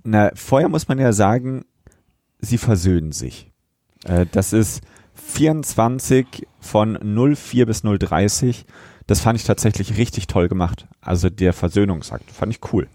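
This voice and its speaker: A man with a lowish voice, chatting casually as a podcast co-host. He stays wry and matter-of-fact, adding asides from his notes. The sound is close and dry. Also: lively intonation, unhurried, warm tone, no room sound. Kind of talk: casual review chat.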